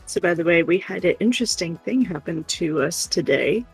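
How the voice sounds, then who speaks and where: A livestream co-host chatting casually and telling everyday stories in an animated, playful way. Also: mid-range voice, moderate pace, creaky, slightly rough, low-quality recording. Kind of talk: casual livestream chat and storytelling. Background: music.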